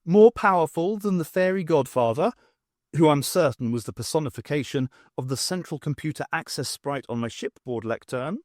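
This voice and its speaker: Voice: medium pitch, normal speed, a little mellow, no echo, clean studio sound, lightly acted. A reader voicing a flustered, sardonic woman adventurer who is sarcastic and prone to exclamations. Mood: defiant.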